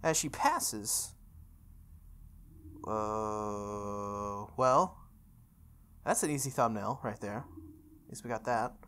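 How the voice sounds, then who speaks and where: A woman's voice: very deep voice, slow, long pauses, fairly bright tone, slight background noise. A woman reading visual novel narration aloud, with casual, chatty asides.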